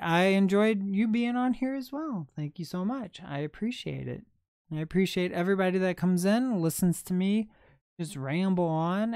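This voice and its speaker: Soft-spoken calm streamer. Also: male voice, sits high, smooth, no echo, studio quality. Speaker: livestream host. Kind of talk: rambling late-night livestream chat.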